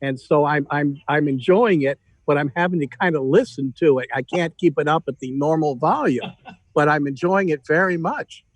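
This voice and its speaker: High-pitched man chatting casually. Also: normal speed, no echo. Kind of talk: casual chat. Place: video call.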